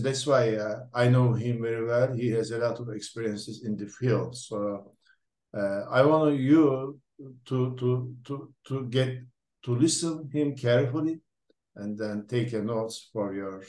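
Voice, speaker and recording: A man hosting an interview, introducing his guest slowly with many fillers and restarts, speaking English as a non-native Turkish speaker. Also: mid-range voice, darkish tone. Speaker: interview host, lab researcher. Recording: echoey room.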